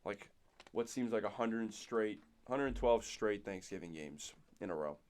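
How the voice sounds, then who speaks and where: A young man, hoarse and nasal from a cold, co-hosting a casual podcast and rattling off game stats. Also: fairly low pitch, expressive, a little slow, darkish tone. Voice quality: raspy, nasal. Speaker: podcast co-host. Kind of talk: casual sports chat.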